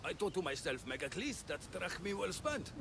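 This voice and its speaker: Male village chief, game cutscene: blunt, sardonic, high voice, highly animated. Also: normal speed, vocal fry, background noise, full character voice. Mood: worried, bitter. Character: exasperated male leader of a besieged ancient Greek village. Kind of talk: video game cutscene acting.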